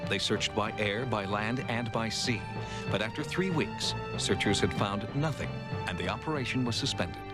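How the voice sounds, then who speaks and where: A man's voice: fairly low pitch, moderate pace, over loud music. A man narrating a documentary from a script in a dramatic style.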